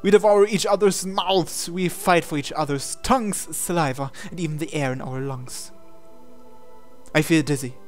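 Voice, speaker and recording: A man's voice: a little high, normal speed, soft background music. A man reading a visual novel aloud as a gamer, breaking into casual, incredulous asides. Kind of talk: game playthrough narration with casual asides.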